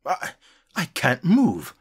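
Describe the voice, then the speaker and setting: A young male elf guard, acted by a man speaks in a husky voice that swings around in pitch. He is self-important yet easily flustered, a fantasy audio drama soldier out of his depth. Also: huge pitch swings, slow pace, full character voice. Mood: frightened.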